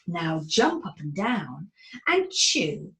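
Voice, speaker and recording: A woman's voice: on the low side, sing-song, gravelly, a bit bright, voice pushed down, heavily acted. A woman voicing a cheerful magical hat-shop keeper who chants a bouncy rhyme. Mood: sing-song. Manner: sing-song rhyming chant, slow and bouncy.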